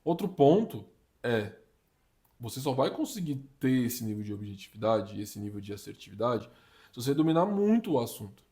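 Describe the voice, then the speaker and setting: A young Brazilian man talks straight to the camera in a laid-back, slangy way. His pitch sits in an ordinary middle range, and he gets lively and punchy when he makes a point. He sounds like a vlogger handing out practical tips. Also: moderate pace. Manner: slangy and animated, uses "tá ligado", "mano", "papo reto". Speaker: career vlogger giving communication tips. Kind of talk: casual advice vlog.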